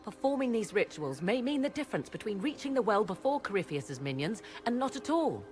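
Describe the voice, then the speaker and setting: An actress playing a haughty, scholarly sorceress in a video game, speaking in formal, archaic, imperious phrases. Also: female voice, mid-range voice, big pitch swings, brisk, darkish tone, faint music behind, natural voice. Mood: insistent. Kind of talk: dramatic video game acting.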